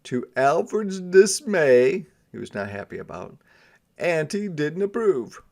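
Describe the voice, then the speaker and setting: A man reading a storybook aloud to children as a teacher, moving between dramatic narration and quieter explanatory asides. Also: a little high, big pitch swings, slow pace, vocal fry.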